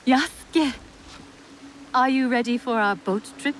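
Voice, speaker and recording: An actress playing a gracious, composed Japanese noblewoman and widow in a video game, speaking formally and with measured care. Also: sits high, a little hiss or hum. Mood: warm, bright. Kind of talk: video game cutscene acting. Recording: loud music behind the voice. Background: music.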